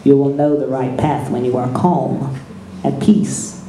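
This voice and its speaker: A storyteller voicing a tiny, ancient, wise creature master, speaking slowly and deliberately in a low voice, in a roomy-sounding space. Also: flat delivery, mellow, a little hiss or hum, lightly acted. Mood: instructive.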